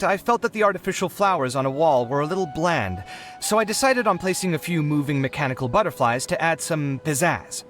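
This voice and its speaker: A polite young designer in a game, lightish high male voice, articulate, music behind. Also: fairly bright tone. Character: earnest, refined young male architect. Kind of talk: animated video game voice acting.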